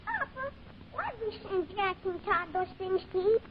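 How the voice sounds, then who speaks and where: Dull, noisy old radio recording of a small boy with a very high, childlike voice, naive and full of questions. He is stubborn when crossed, in the manner of vintage radio drama. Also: big pitch swings, normal speed. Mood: innocent, eager. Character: innocent, inquisitive six-year-old red-haired boy.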